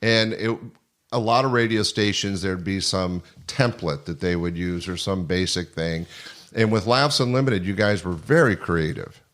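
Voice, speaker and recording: A man's voice: deep voice, moderate pace, a bit bright. An older man hosting a conversational interview, reminiscing in a rambling way. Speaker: podcast host, former comedy club owner.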